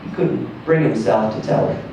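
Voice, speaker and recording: A man reading a literary short story aloud in a theatrical, emphatic manner, with deliberate pauses and swells. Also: mid-range voice, mellow. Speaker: story reader. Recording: distant echoey room with faint noise.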